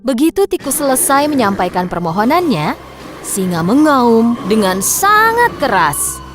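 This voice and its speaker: High, lively woman storyteller. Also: a little slow. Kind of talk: scripted children's story narration. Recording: music behind the voice.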